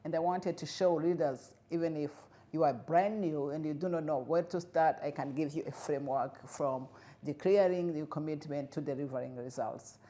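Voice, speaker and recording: A middle-aged woman from Rwanda, whose first language is Kinyarwanda, speaking earnestly as an interview guest with frequent pauses and fillers. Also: deep voice, mellow. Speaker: guest, refugee integration expert. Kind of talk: interview conversation.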